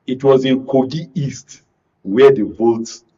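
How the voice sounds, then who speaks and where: A man with a Nigerian accent commenting on politics as a panel guest, deliberately, in short broken phrases. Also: mid-range voice, warm tone, breathy edge. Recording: dull lo-fi broadcast audio.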